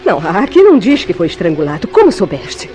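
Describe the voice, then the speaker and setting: A woman's voice: on the low side, wildly swooping pitch, fairly quick. A woman acting a delicate, anguished lover in a radio soap opera, theatrical and formal, pleading and insistent. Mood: accusing.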